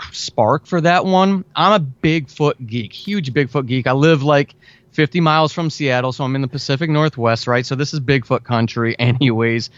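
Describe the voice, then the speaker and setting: A man's voice: mid-range voice, no room sound, dull audio. An American man talking chattily and with enthusiasm as a guest in a conversational interview, repeating words for emphasis. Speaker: podcast guest, audio drama writer.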